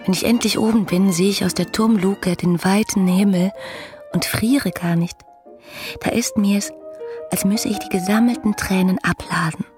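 An actress playing an ardent, dreamy young letter writer, breathy and confiding, in a radio play. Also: female voice, mid-range voice, fairly even intonation, moderate pace, over music, close to an everyday voice. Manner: musing, confiding, intimate.

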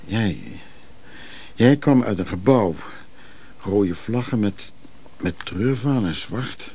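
Brooding man with a slightly husky voice. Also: lowish voice, slow pace, warm tone, exaggerated voice. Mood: haunted, brooding. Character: restless moody male lover haunted by dreams. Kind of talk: intimate radio drama acting.

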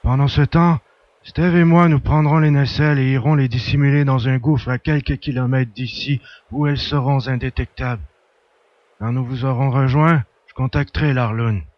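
A commanding male hunter, acted by a narrator in an audio adventure, with a dull, radio-like filtered sound. His pitch is average and the sound is very dry. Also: fairly even intonation, moderate pace, no echo. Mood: decisive, instructing.

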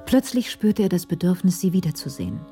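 A woman narrates a scripted radio crime drama. Her voice sits a little low and sounds soft and dark, with quiet music underneath. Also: big pitch swings, a little fast.

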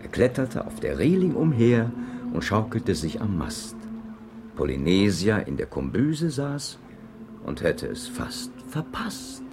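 A man's voice: on the low side, big pitch swings, slow pace, noisy background, full character voice. A man playing a wry, leisurely storyteller who narrates a children's radio play in rhyme.

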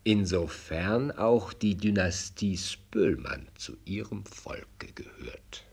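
An actor playing a shrewd, blunt minister of state in a German radio play, husky, with formal, rhetorical courtly speech. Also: male voice, sits low, animated, slow pace, exaggerated voice. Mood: solemn.

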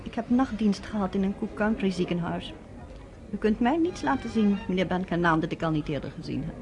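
An actress playing a proud, curious American nurse in a radio drama, talking firmly and with challenge. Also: female voice, medium pitch, animated, fairly quick, natural voice.

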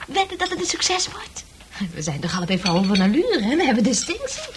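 A girlish, excitable busybody eager for company. Her high voice swoops about in a coquettish, prying way, in a radio drama with faint noise from the old recording. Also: a little fast. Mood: eager, coaxing. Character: chatty, nosy, eager, girlish woman newcomer. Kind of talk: Dutch radio play acting.

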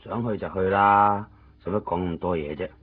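An actor playing a gruff, worldly fixer who looks after a friend in a radio drama. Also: male voice, sits low, moderate pace, close to an everyday voice. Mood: brisk, instructing. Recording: dull 1990s radio recording, faint noise.

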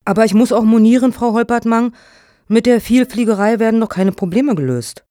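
A woman's voice: on the high side, fairly quick, mellow, no echo, studio quality, lightly acted. An actress in a satirical radio play as a prim, officious woman on a foundation's jury, speaking formally. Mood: reproving.

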